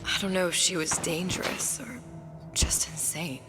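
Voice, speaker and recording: A woman's voice: lowish voice, fairly even intonation, moderate pace, over loud music, lightly acted. An actress voicing a cool, capable, observant young woman in a video game, matter-of-fact. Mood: focused. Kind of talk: video game drama acting.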